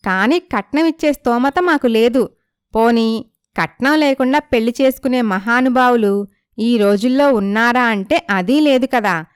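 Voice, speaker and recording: A woman playing a proud, assertive young woman from a poor family in an animated moral story. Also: a little high, smooth, dry recording, clean studio sound, close to an everyday voice.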